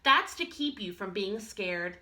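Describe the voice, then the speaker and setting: A woman's voice: medium pitch, sing-song, measured pace, sharp tone, full character voice. A woman voicing a big, patient, caring male bear, coaxing and questioning in a children's picture book read-aloud. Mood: reassuring.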